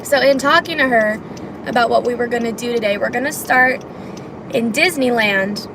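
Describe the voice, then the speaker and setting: A hoarse woman who is losing her voice, chatting in a rambling way as she drives on a freeway. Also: on the high side, moderate pace. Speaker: vlogger. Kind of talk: casual chat while driving. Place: car on a freeway. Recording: loud road noise in a car. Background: loud car road noise.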